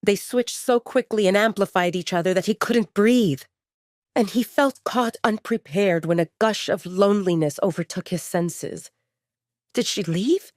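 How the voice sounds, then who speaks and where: A woman's voice: mid-range voice, a little slow, a little mellow, no room sound, clean studio sound. A woman reading a dramatic fiction story aloud as a scripted audiobook narration.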